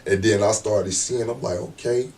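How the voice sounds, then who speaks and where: A man with a deep voice, a rapper being interviewed, talking in a laid-back, slangy way and often asking "you know what I'm saying". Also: steady tone, moderate pace, a little mellow, recorded from a distance. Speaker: rapper guest. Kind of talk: casual interview talk.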